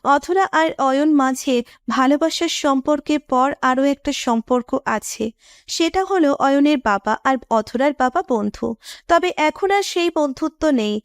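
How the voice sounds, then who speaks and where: High-voiced woman narrating a romance. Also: unhurried, no room sound, studio quality. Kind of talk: scripted romantic story narration.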